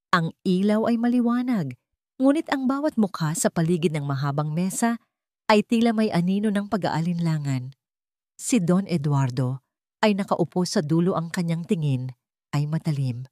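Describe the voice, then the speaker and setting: Low-voiced Filipino woman narrating, dry sound. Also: a little mellow, no room sound. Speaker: storyteller. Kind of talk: scripted dramatic audiobook narration.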